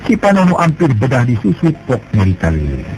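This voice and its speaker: A male storyteller narrating a folk tale in a measured, expressive way. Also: medium pitch, a little hiss or hum, low-quality recording. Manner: measured, expressive narration with rising and falling emphasis. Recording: music playing behind.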